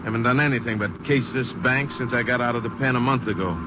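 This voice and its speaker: An actor playing a cocky, impatient American ex-convict bank robber in a 1950s western radio drama, talking in slang. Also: male voice, mid-range voice, fast, natural voice. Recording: muffled old radio recording, noisy car background.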